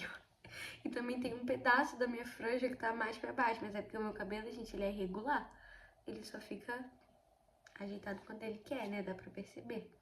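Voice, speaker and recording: Slightly hoarse Brazilian teen girl. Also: a little high, roomy sound, a little hiss or hum. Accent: Brazilian Portuguese. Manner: chatty, keeps addressing viewers, emphatic storytelling. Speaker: video host. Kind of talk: casual Bible study vlog.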